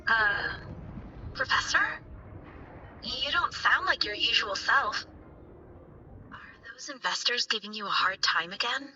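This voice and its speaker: A woman's voice: a little high, expressive, a little slow, pauses often, bright, forceful tone, dull audio, a little hiss or hum, heavily acted. A woman voicing an eager, affectionate young female student in a video game, chirpy and informal. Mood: concerned. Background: game music.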